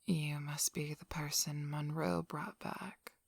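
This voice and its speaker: A woman playing a protective, threatening female werewolf pack leader in an ASMR roleplay, speaking in a suspicious, menacing, breathy near-whisper. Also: low-pitched, level tone, takes it slowly, no room sound, exaggerated voice. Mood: suspicious, interrogating.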